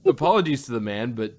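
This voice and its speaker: A man's voice: mid-range voice, slight background noise. A man co-hosting a casual review podcast, rambling and often rephrasing as he goes. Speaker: podcast co-host reviewing audio drama. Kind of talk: casual chat.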